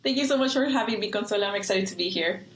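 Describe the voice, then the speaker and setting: Lively young woman in an echoey room. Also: medium pitch, very fast. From Washington State, USA, and Mexico. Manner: animated, lively, talks with many fillers. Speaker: guest, business coach. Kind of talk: conversational storytelling. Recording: roomy echo.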